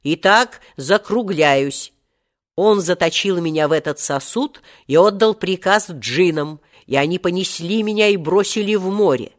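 An ancient male genie from a children's storybook, acted out by a narrator, grandiose and full of menace. He speaks in archaic, pompous phrases with a short temper, at a medium pitch. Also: animated, measured pace, lightly acted. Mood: grim, grandiose.

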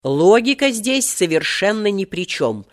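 An ancient male genie from a children's storybook, acted out by a narrator, grandiose and full of menace. He speaks in archaic, pompous phrases with a short temper, at a medium pitch. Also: animated, takes it slowly, a bit bright. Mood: stern, impatient.

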